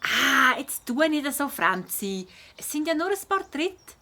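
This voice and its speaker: A female performer playing a bold, adventurous schoolgirl detective in a children's audio drama, lively and coaxing, with a Swiss German accent. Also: sits high, unhurried, full character voice. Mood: upbeat, coaxing.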